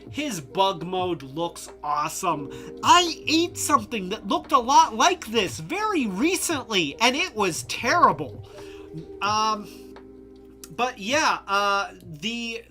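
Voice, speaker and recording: Casual toy-review streamer, slow unhurried delivery, stuffy congested voice, music playing underneath. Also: medium pitch, bright, forceful tone. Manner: rambling, many fillers and restarts. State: congested, losing voice; tipsy from drinks.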